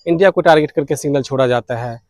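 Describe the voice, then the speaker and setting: A man's voice: on the high side, quick pace, a little mellow, no echo, studio quality. A man narrating informally as a vlog host, explaining things step by step. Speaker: shortwave radio vlogger, host.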